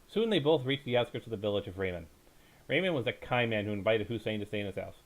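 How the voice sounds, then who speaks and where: A man's voice: on the low side, animated, low-quality recording. A man reading a bedtime story aloud in a dramatic storytelling style, stumbling now and then over the text. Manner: halting, stumbles and corrects himself while reading. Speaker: storyteller, reader.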